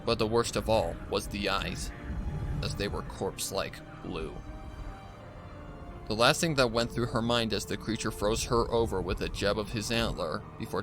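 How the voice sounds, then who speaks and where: A man reading a scripted horror story as narrator in a deliberate storytelling manner. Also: lowish voice.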